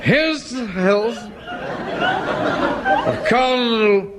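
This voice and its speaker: An actor playing a pompous, flustered middle-aged Home Guard captain with an English accent in a British sitcom. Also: male voice, very high voice, extremely slow, a loud crowd, exaggerated voice. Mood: tipsy, confused. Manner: pompous, blustering. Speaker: actor in a comedy. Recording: noisy old comedy broadcast.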